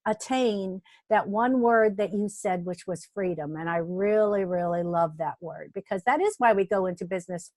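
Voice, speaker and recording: A woman's voice: medium pitch, moderate pace, hardly any pauses, darkish tone, no echo. A woman interviewing a guest, enthusiastic and affirming, often repeating words as she builds her questions.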